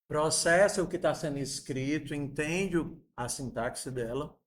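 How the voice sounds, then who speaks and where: A man in his forties with a fairly high voice walks students through code. He explains patiently, asks them questions along the way and sprinkles in friendly diminutives. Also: measured pace. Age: 40s. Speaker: programming teacher. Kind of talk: coding lesson screencast.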